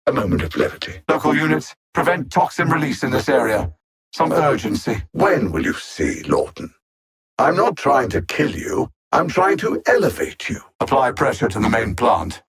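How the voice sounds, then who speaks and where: A man playing an arrogant alien android conqueror in a video game, speaking with deliberate, theatrical condescension and cold intellect in a husky voice. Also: medium pitch, a bit bright, exaggerated voice. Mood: sinister persuasion, then cold command. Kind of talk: video game villain voice lines.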